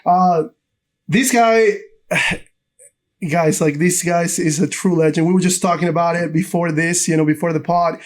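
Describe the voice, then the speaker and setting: A man's voice: fairly high pitch, steady tone, a little slow. A man from Ecuador hosting a podcast in an enthusiastic, informal chat, addressing both his guest and the audience. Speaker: podcast host.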